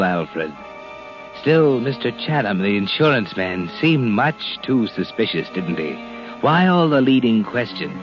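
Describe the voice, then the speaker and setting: An actor playing a sinister, taunting narrator of a 1940s radio suspense drama. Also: male voice, fairly low pitch. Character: sinister, taunting all-knowing male storyteller. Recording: muffled 1940s radio with loud music. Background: dramatic music.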